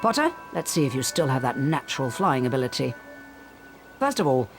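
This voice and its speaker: A brisk flying teacher witch. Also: extremely low pitch, sing-song, faint music behind, exaggerated voice. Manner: crisp, commanding, dry.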